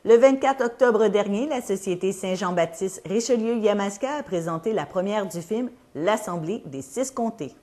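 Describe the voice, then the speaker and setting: Mellow-voiced newswoman. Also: mid-range voice, expressive, moderate pace, hardly any pauses. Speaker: local TV news reporter. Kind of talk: scripted news narration.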